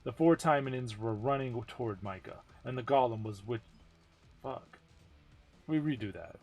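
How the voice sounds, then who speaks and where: A man with a medium-pitched voice reads game story text as narration. It is an amateur dramatic reading, informal and a little self-deprecating. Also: normal speed, darkish tone, soft background music.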